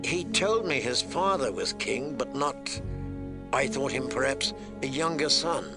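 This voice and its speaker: Over clear background music, a man plays a young recruit in a fantasy audiobook. He answers respectfully and a little unsurely, at a middling pitch. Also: expressive, measured pace. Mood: hesitant. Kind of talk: audiobook character reading.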